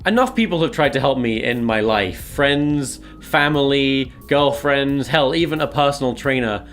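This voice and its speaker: A man of average pitch narrates a personal, confessional vlog. He comes across as self-deprecating, and music plays underneath his voice. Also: lively intonation, moderate pace, a bit bright. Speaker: video creator documenting his weight loss.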